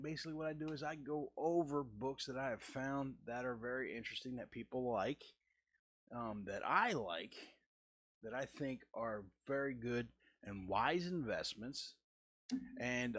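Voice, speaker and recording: Husky-voiced man in his forties, halting. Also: a bit bright, no echo, studio quality. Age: 40s. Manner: halting, restarts and stammers, deliberate lowered delivery. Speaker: host. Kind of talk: casual art-stream chat.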